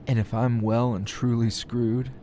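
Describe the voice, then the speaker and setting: A man's voice: mid-range voice, flat delivery, measured pace, dark tone, a little hiss or hum, lightly acted. A man playing a defiant, sardonic captured hero and teacher in an ASMR roleplay, taunting his kidnapper in a drawl broken by sighs and chuckles. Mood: dry, mocking.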